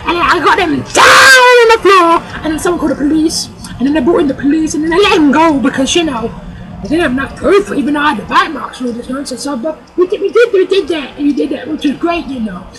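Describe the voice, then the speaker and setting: A performer voicing a loud, sassy older woman guest on a comic talk show, rambling and calling everyone "child". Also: extremely high pitch, lively intonation, quick pace, rough recording. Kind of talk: comic sketch.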